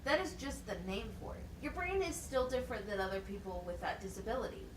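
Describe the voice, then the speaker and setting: A woman acts out a methodical, encouraging teacher in a puppet show. Her voice is fairly high with lively intonation. She guides with plain questions and explanations, heard in a roomy recording. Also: fast talker. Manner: instructive, question-led, plain wording. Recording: roomy, distant mic, faint noise.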